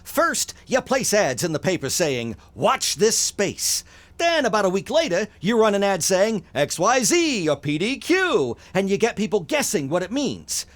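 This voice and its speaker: An American man playing a fast-talking, wisecracking, money-hungry publicity agent in a 1950s-style radio drama, slangy and animated. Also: sits high, heavily acted. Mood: eager, salesmanlike.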